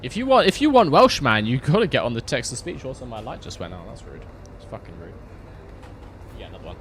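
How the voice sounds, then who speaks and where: Lively joking gaming streamer man. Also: mid-range voice, fast, a little hiss or hum. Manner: chatty, joking, reacting to chat. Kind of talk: casual gaming livestream chat.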